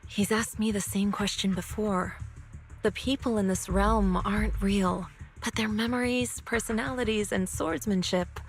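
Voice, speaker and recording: A woman's voice: medium pitch, fairly even intonation, moderate pace, natural voice. A woman voicing a composed, nonchalant sword master and mentor in a video game. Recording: game music behind the voice.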